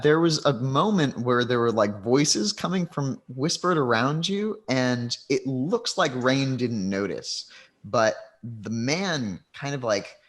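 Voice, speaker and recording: A man narrating as game master of a tabletop game in an easygoing way. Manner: relaxed, unhurried narration with lively pitch.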